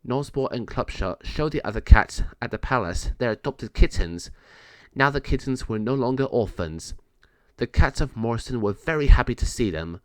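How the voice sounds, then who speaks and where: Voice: medium pitch, lively intonation, normal speed, clear, resonant tone, no echo. A narrator reading a children's story aloud in a scripted read-along style.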